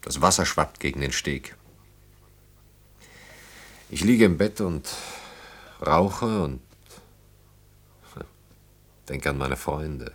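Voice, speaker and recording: An actor in a German radio play, playing a brooding engineer who speaks reflectively in halting, short phrases. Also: male voice, a bass voice, lively intonation, a bit bright, heavily acted. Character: brooding engineer haunted by wartime childhood and lost friends.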